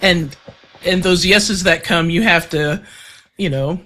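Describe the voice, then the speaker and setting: A woman hosts a podcast interview with a somewhat low voice. She speaks calmly and thoughtfully, easing into her points with soft fillers. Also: slow pace. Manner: calm, reflective, with fillers. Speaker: podcast host.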